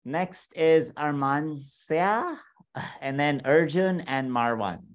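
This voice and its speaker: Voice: mid-range voice, lively intonation, takes it slowly. A class host on a video call, calling on students with brief, businesslike cues. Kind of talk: moderating an online class.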